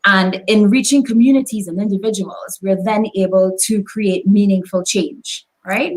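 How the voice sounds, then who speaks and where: A woman's voice: mid-range voice, steady tone, poor audio. Woman hosting a live session. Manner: measured, reads an introduction then asks long questions with fillers. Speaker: host. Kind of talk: scripted show introduction then interview.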